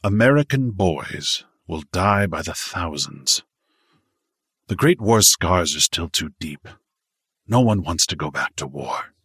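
A man's voice: deep voice, lively intonation, slow pace, fairly bright tone, husky, dry recording, studio quality, full character voice. A man voicing a jowly older businessman in an audiobook, grave, cautious and subdued. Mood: grave, reproachful. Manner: subdued, doubtful, weary.

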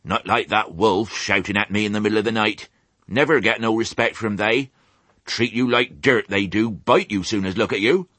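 A man voicing a mournful, grumbling old ewe with a husky voice and a West Country accent, in a storybook. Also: fairly low pitch, fairly even intonation, moderate pace, fairly bright tone, no room sound, pitched above the performer's own voice. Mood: aggrieved. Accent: English West Country.

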